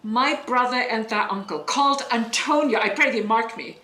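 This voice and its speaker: A woman's voice: a little high, normal speed, pushed and bright. A woman playing a bitter, scholarly deposed duchess and sorceress mother in Shakespearean audio drama, speaking in a declamatory, emphatic way that demands attention. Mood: bitter, insistent. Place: indoor room, recorded over internet link. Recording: roomy remote recording, noise.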